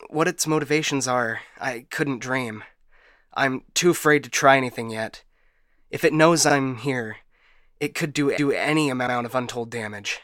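A man's voice: mid-range voice, normal speed, fairly bright tone, dry recording, studio quality, lightly acted. A man playing a broken, paranoid ship AI in a science fiction audio drama, stammering and getting stuck repeating words. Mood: fearful, hiding. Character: frightened, broken male ship AI, paranoid and unstable.

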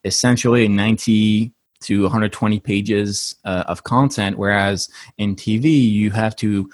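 A man from France with a French accent, a TV drama writer explaining things in a measured way on a panel webinar. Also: fairly low pitch, steady tone, no room sound, clean studio sound.

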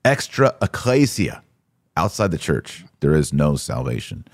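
A man with a deep voice hosts in a close, dry recording. He talks informally about religion, posing rhetorical questions and repeating short phrases to drive a point home. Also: big pitch swings, measured pace, no echo. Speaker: podcast host. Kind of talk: conversational religious commentary.

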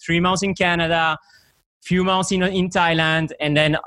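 A man with a Belgian accent answers podcast questions in a relaxed, conversational way, pausing often on fillers. His voice is a bit high for a man and sounds dry, with no room echo. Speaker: guest, software company owner. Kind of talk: casual interview chat.

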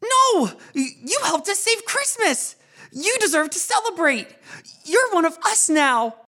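Woman voicing a gushing excitable pet. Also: very high voice, unhurried, exaggerated voice. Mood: pleading. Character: excitable sweet-natured household pet.

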